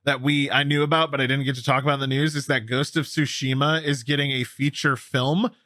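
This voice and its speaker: A man's voice: medium pitch, little pitch movement, brisk, sharp tone, no echo, clean studio sound. A man co-hosting a gaming news show, talking casually and emphatically, repeating himself for effect and swearing freely. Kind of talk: casual news commentary with co-host.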